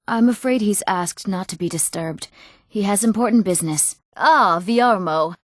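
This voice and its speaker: A woman's voice: mid-range voice, no room sound, lightly acted. Young female fantasy RPG character, played by an actress: earnest townswoman or noble, middle register, clean dry studio sound. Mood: polite, brisk. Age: young adult. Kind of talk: scripted fantasy game character dialogue.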